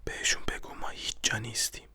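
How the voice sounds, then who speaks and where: A narrator voicing a paranoid, agitated man from a stage play in a whispered voice. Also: bright, forceful tone. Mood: frantic, defiant.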